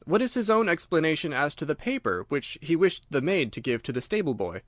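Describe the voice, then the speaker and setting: A man voicing a keen, logical, confident gentleman detective in an audiobook, with an articulate, measured, argumentative delivery. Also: fairly high pitch, sing-song, no echo, studio quality. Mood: challenging. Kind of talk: audiobook character dialogue.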